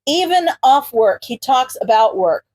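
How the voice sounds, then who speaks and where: A woman reads fiction as a narrator. Her voice is on the high side, and her delivery is expressive, scripted storytelling. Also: measured pace. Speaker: podfic narrator.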